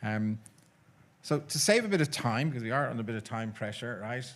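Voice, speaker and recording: A man moderating a conference panel, hurried and hesitant, with many fillers. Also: medium pitch, animated. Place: conference hall.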